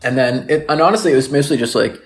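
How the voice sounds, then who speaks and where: A man with an American accent and a noticeably creaky, mid-pitched voice. He talks in loose, rambling, slangy phrases, like a guest in a casual chat. There is some audible background noise. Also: fast talker. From Texas, United States. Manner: rambling, hedging, slangy speech full of "like". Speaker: podcast guest, filmmaker.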